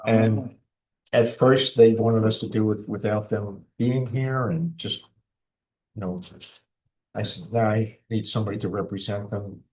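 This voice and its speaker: Male meeting chair, lower-pitched voice, plain-spoken and informal. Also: fairly even intonation, a little slow, darkish tone, rough recording. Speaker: planning board chair. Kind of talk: running a town board meeting.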